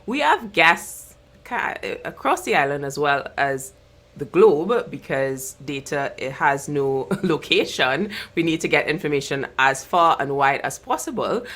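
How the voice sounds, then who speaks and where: A woman's voice: sits low, fairly bright tone. A woman hosting a live online interview show, speaking in an upbeat, chatty way and laughing easily, over a video call. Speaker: host.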